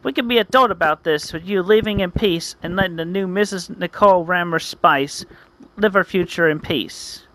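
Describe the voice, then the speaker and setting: A man's voice: high voice, normal speed, fairly bright tone, low-quality recording. A man voicing an earnest, self-styled hero duelist in a declamatory, melodramatic way, like amateur anime-style storytelling.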